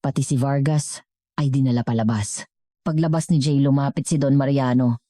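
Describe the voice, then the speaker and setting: A woman storyteller with a low-pitched, mellow voice delivers scripted dramatic narration. The sound is dry and close, with no room around it. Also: steady tone, moderate pace, dry recording.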